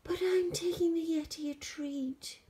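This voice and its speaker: A woman voicing an eager, excitable young boy adventurer from a children's rhyming story. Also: very high voice, unhurried, pitched above the performer's own voice. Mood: apologetic. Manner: exclaiming, polite when apologising.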